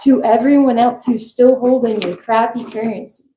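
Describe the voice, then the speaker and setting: A woman's voice: a little high, steady tone, moderate pace, warm tone. A woman co-hosting a casual livestream, speaking bluntly and wryly. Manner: blunt, wry. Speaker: co-host. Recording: echoey room with faint noise.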